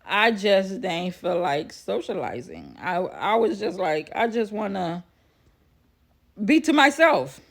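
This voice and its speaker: A woman's voice: mid-range voice, very animated, takes it slowly. A woman telling a personal story casually to viewers, chatty and repeating phrases for emphasis. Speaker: vlogger.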